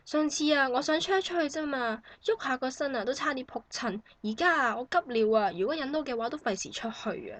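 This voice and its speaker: Woman acting a high-pitched, grumbling new mother. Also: brisk, hardly any pauses, a bit bright, dry recording. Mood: weary complaining. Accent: Hong Kong Cantonese. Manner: sighing, complaining, colloquial. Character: weary, grumbling new mother in a maternity ward. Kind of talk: amateur satirical radio drama acting.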